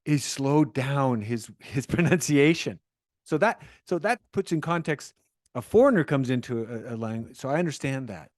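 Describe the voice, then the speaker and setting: A man hosting a podcast interview, rambling and animated, often restarting his sentences. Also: medium pitch, moderate pace, no room sound, clean studio sound. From Canada. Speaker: podcast host. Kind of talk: conversational interview.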